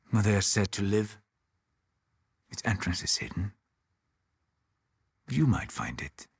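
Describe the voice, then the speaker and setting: A man playing a weary, haunted Norse settlement leader in a video game, speaking gravely and deliberately in a husky, breathy voice. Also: deep voice, no room sound, clean studio sound, exaggerated voice. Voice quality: husky, breathy, often near a whisper. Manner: slow, deliberate, grave, with pauses. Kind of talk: dramatic video game acting.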